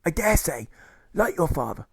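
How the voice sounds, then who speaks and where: Muddled elderly colonel, gropes for words, pitched up high, read by a man. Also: very animated, normal speed, creaky, slightly rough, no room sound, exaggerated voice. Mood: blustering. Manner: fumbles for words. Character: rambling forgetful old army colonel.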